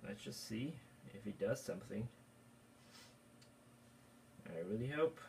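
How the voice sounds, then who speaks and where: A man from Austria talks casually while he works on old hardware at a home bench, with a fairly low voice. He rambles and thinks aloud, chuckling as he goes. Faint room noise sits behind him. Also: lively intonation, a little slow, creaky, a little mellow. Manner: rambling, self-talk while tinkering, chuckles often. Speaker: vintage computer hobbyist. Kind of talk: casual hands-on tech vlog.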